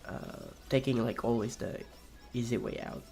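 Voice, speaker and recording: A young man with a raspy voice, streaming a game and rambling casually with many fillers and restarts. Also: medium pitch, steady tone, moderate pace, a little mellow, soft background music. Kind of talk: casual chat while playing a game.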